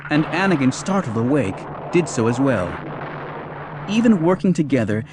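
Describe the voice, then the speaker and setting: A man's voice: on the high side, noisy background. A man reading an audiobook as narrator, delivering scripted action storytelling in a dramatic style. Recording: music behind the narration.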